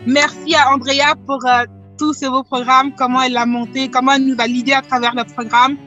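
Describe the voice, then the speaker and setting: Earnest young woman, high voice. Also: level tone, brisk, lo-fi sound. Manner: earnest, heartfelt. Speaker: program participant. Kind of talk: interview testimonial. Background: soft background music.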